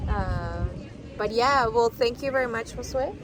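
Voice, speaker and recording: A woman's voice: a little high, measured pace, background noise. A young woman whose first language is Spanish, hosting a casual interview and talking loosely with lots of fillers. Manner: chatty, many fillers like "like" and "you know". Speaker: podcast host.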